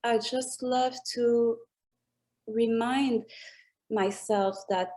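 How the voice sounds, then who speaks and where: Slow, thoughtful French-accented woman. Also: on the high side, fairly even intonation, darkish tone. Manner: slow, thoughtful, searching for English words, laughs often. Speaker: music artist guest. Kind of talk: reflective interview answers.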